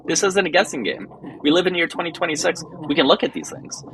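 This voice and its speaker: A man's voice: a little high, very animated, fast, background noise. A man hosting a live online debate, exasperated and incredulous, cursing as he pushes back. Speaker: livestream host.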